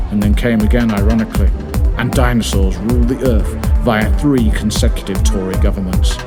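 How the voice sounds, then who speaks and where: A man reading his own comic story as scripted narration, with a mock-dramatic storytelling manner. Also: on the low side. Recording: music behind the voice.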